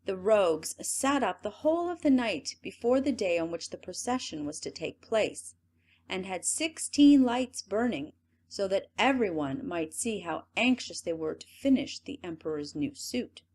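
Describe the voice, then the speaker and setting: A woman reading a classic fairy tale aloud as an audiobook narrator, in an expressive storytelling manner. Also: medium pitch, normal speed. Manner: expressive, lively storytelling read.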